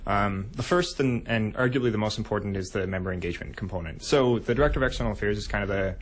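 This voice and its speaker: A young man answering as a radio interview guest, with measured, hesitant phrasing. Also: lowish voice. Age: young adult. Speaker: interview guest, incoming student union executive. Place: radio studio. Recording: faint background noise.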